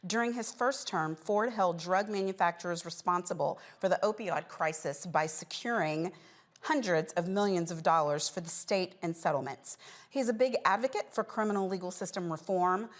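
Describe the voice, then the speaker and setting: A woman's voice: fairly low pitch, normal speed. A woman hosting a news show, introducing and questioning guests in an interview style.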